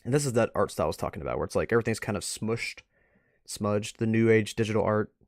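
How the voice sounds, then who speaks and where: A man's voice: on the low side, fast, no room sound, clean studio sound. A man giving casual, off-the-cuff commentary as a stream host, rambling and musing aloud. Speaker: host of a live art review stream.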